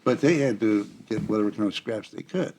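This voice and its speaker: A man in casual chat, explaining things in halting, self-interrupting phrases. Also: on the low side, a little mellow, a little hiss or hum.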